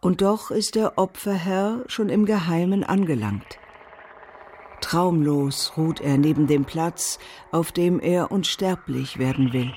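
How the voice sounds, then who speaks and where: A woman with a standard German accent narrates a scripted literary radio piece in a solemn, deliberate manner. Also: lowish voice, a little mellow, a little hiss or hum.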